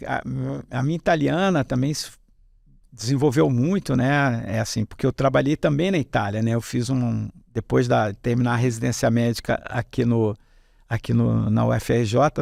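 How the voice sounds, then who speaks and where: A man's voice: mid-range voice, moderate pace, vocal fry, a little mellow, dry recording, clean studio sound. A middle-aged man with a Carioca accent, telling his life story in a casual interview, rambling with many fillers and restarts. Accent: Carioca Brazilian Portuguese. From Rio de Janeiro, Brazil. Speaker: hematologist guest.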